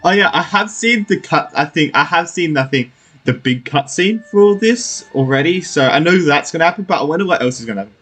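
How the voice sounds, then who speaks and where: Guy gaming and chatting, jokey, husky voice, bouncy pitch. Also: expressive, fairly quick, a bit bright. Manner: chatty, jokey, reacts and laughs. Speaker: gamer playing through a story quest. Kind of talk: casual let's-play commentary. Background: faint game music.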